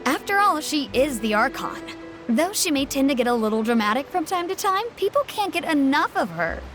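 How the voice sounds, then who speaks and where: A woman playing an earnest, polite young townswoman in a video game, explaining things in a proper, gently reproving manner. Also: sits high, very animated, a little fast, over music.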